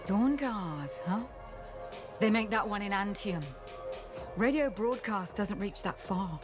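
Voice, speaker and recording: Shady female shopkeeper, game role: sly, dry, breezy, medium-pitched, music behind her. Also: animated, takes it slowly. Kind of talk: video game dialogue.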